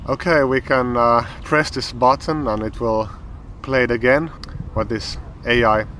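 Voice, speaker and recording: A man with a Finnish accent, a language teacher calmly explaining an app as he tries it, thinking aloud with pauses. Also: medium pitch, a little hiss or hum. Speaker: language teacher and channel host. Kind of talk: explaining an app while trying it.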